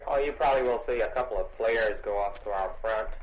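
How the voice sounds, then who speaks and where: A man's voice: fairly low pitch, quick pace. A man with an American accent, a soldier explaining things informally in a field interview. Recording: dull, noisy old field recording.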